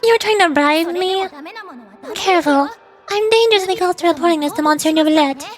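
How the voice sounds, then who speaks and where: High-pitched playful woman streamer. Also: brisk, faint music behind. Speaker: VTuber streamer reading game dialogue aloud. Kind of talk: playful game read-along with amateur voice acting.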